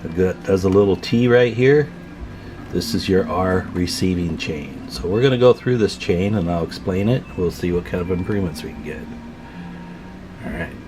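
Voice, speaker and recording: Low-pitched man, informal how-to. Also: over music. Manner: informal, halting, thinking aloud while working. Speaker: video host demonstrating CB radio tune-up. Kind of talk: casual hands-on repair tutorial.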